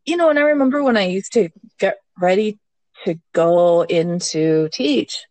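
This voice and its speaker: A woman guest in a casual mindfulness chat, candid and quick to laugh. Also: medium pitch, expressive, darkish tone, no room sound. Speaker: podcast guest, mindfulness teacher. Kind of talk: casual conversation.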